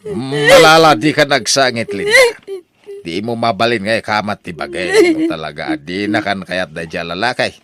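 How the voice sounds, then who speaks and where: A man's voice: medium pitch, wildly swooping pitch, moderate pace, sharp tone, faint music behind. A man with an Ilocano accent playing a plain-spoken father consoling his jilted daughter, coaxing and exaggerated, in a comedy drama. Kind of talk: comedy radio drama acting.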